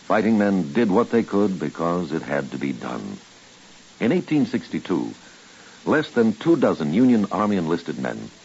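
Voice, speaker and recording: Announcer role: deep gravelly American man, solemn patriotic read, muffled old radio sound. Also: a little mellow. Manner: solemn, dramatic, deliberate phrasing. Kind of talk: scripted patriotic narration. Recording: dull, hissy old radio recording.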